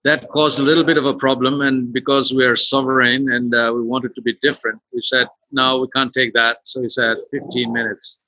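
A man's voice: mid-range voice, little pitch movement, a little fast, few pauses, pushed and bright, dry recording. A man from Nepal with a Nepali accent, answering conversationally as an interview guest over a dull, lo-fi connection. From western Nepal. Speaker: podcast guest, tour company founder.

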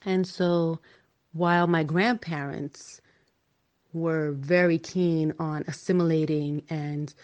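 A woman from New York, an English as a second language teacher, telling her own story in an earnest, measured way. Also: low voice, fairly even intonation, a little mellow, dull audio. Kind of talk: reflective personal story.

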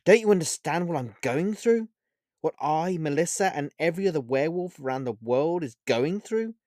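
A man voicing a distraught adult female werewolf who talks in an earnest, halting, pleading way. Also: medium pitch, animated, no echo, studio quality, voice pushed up, lightly acted. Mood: annoyed. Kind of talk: storybook character voice.

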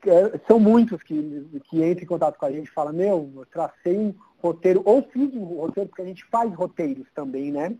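A man's voice: high-pitched, expressive, brisk, few pauses, mellow, low-quality recording. A man in his thirties with a São Paulo Brazilian accent, chatting informally as an interview guest. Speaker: interview guest, social media city guide creator. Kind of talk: casual chat.

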